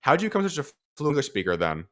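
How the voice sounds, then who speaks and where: A man's voice: mid-range voice, wildly swooping pitch, racing, fairly bright tone. A young man hosting a boxing interview from a studio desk microphone, asking long, detailed questions. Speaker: host.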